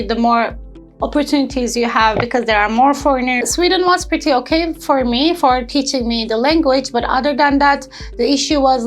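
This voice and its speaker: A young woman from Turkey, speaking in a personal testimonial interview as an intern and entrepreneur. She talks earnestly, often pausing with fillers and restarting sentences as she searches for words. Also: on the high side.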